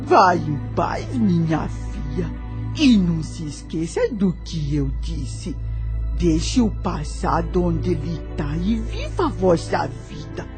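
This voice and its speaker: A Brazilian woman playing a wise, sorrowful elderly enslaved nanny in an audio drama, speaking slowly in folksy, old-fashioned words. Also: on the low side, sing-song, music in the background, full character voice. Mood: gentle, wise.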